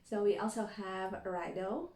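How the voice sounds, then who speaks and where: A woman's voice: mid-range voice, unhurried, echoey room, background noise. Woman reading tarot. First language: Latvian. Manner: reflective, thinking aloud while reading cards. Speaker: tarot reader. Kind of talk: tarot reading addressed to viewers.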